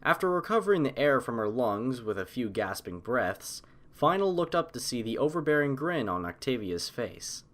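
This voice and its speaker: A man narrating a scripted fan story as an audiobook, in an expressive storytelling style. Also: medium pitch, normal speed, few pauses.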